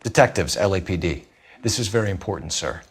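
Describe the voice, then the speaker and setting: Low-voiced, earnest American police detective. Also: male voice, animated, slight background noise. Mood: serious. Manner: measured, articulate, serious. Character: earnest, intense young male police detective in 1940s America. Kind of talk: naturalistic game cutscene acting.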